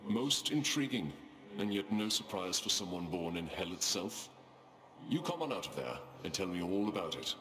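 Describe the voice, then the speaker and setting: An actor playing a brash, curious war god in a video game, savouring the words theatrically. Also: male voice, low voice, very animated, normal speed, a bit bright. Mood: intrigued, commanding. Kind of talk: video game character dialogue.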